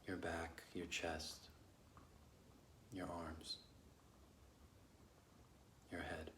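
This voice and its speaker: A man leading a guided meditation as a teacher, speaking gently and slowly with long pauses. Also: sits low, monotone. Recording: echoey room with faint background noise.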